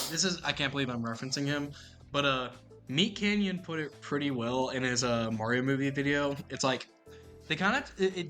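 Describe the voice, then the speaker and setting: Young man, bright voice, casual and agreeable, faint music. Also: medium pitch. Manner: agreeable, picking up others' points. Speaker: podcast co-host. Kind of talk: casual chat.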